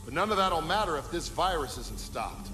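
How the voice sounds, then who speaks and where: A man's voice: on the high side, sing-song, normal speed, over loud music. Solemn, persuasive male villain ruler. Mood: falsely sorrowful. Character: calculating male villain ruler program posing as earnest ally. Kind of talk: video game cutscene acting.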